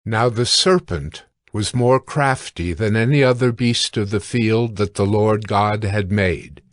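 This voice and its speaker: A man narrating scripture from a script in a measured, solemn way. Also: lowish voice, no echo, studio quality.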